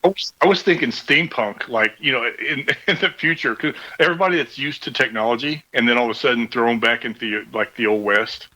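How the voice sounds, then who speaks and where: A man's voice: mid-range voice, brisk, bright, forceful tone. A man chatting casually as a show participant, speaking through a headset on a remote call. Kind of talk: casual chat. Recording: dull, lo-fi headset audio.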